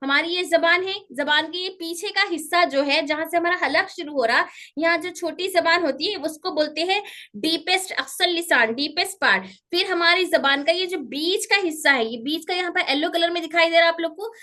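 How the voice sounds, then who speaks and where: A woman's voice: very high-pitched, moderate pace, pushed and bright. A woman teaching a pronunciation class online, patiently repeating each term and checking that her students follow.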